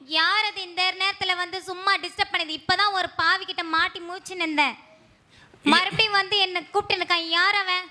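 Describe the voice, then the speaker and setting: A quarrelsome housewife, voiced by a woman in a live puppet show. She scolds and orders people about in a very high, bright, piercing voice. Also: steady tone, fairly quick, heavily acted. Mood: scolding. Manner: scolding, shrill, bossy. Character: shrill angry housewife, quarrelsome.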